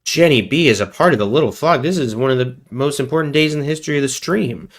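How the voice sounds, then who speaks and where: A man's voice: medium pitch, fast talker. A man hosting a casual livestream while sick with a cold, rambling and joking at his own expense. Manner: rambling, joking, self-deprecating. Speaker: livestream host.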